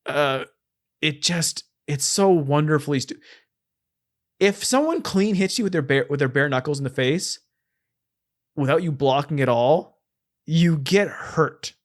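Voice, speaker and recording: A man's voice: fairly high pitch, a little slow, pauses often. A man co-hosting a podcast in casual, comedic film-review chat, explaining things with repetition and the odd swear word. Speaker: podcast co-host.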